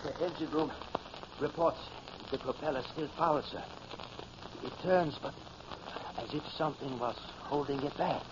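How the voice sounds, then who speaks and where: Casting: male actor as deferential young-officer type, nervous submarine lieutenant, high pitch, muffled vintage radio play with noise. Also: moderate pace, pauses often, crowd noise, natural voice. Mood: uneasy, hesitant. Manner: deferential, hesitant. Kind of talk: 1950s radio drama acting.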